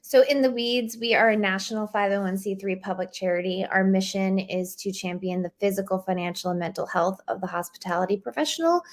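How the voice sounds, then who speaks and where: A woman representing a charity, speaking in an upbeat, polished way as a guest. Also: medium pitch. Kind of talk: interview guest.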